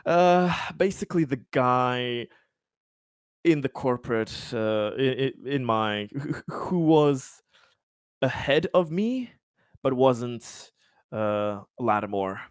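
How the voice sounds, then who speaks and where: A man improvising as a player in a tabletop roleplaying game, halting and hesitant, often stammering over repeated words. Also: mid-range voice, animated, smooth, dry recording, clean studio sound.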